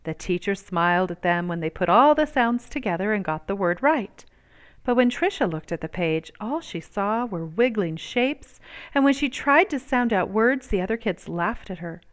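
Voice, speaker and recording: A woman with a clean, resonant voice narrates a children's picture book. Her pitch sits in the middle range, and she phrases the story expressively, with measured emphasis on key words. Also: animated. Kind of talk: expressive read-aloud narration.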